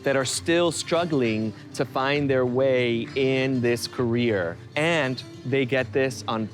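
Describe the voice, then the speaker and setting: A man in a mid-range voice talks about dance and artistry in an earnest, reflective way. Music plays clearly underneath his interview. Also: medium pitch, slow.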